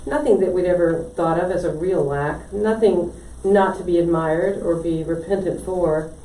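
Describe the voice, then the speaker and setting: Woman, poetry reader, mid pitch, mellow, roomy echo. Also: normal speed. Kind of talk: reading a poem aloud to an audience. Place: indoor library poetry reading. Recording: echoey room, distant mic, faint noise.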